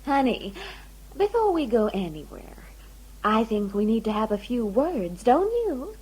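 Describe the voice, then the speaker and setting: Woman playing passive-aggressive hostess, folksy, condescending, sugary, highish voice, audio drama. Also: big pitch swings, a little slow, darkish tone, slightly rough, heavily acted, poor audio. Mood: sweetly threatening. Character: syrupy, passive-aggressive folksy mistress of the house.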